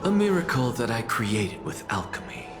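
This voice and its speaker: A man playing a smug, secretive scholar in a game, speaking in a deliberate, haughty and commanding way. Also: mid-range voice, very animated, music in the background, close to an everyday voice. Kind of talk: dramatic game dialogue.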